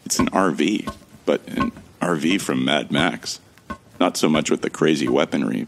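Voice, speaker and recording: A man's voice: extremely low pitch, creaky, slight background noise. A man narrating a sci-fi audio drama in the first person, in a wry, joking and casual way. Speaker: narrator and protagonist.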